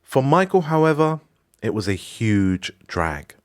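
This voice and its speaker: A man's voice: mid-range voice, very animated, measured pace, clear, resonant tone. A man from Morocco hosting a podcast, giving scripted documentary narration in an emphatic, personal storytelling manner. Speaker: podcast host.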